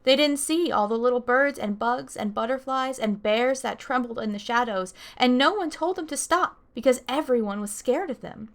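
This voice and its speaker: A woman's voice: on the high side, moderate pace, hardly any pauses, a bit bright. A woman hosting a children's story time, reading aloud expressively and explaining things simply to young listeners.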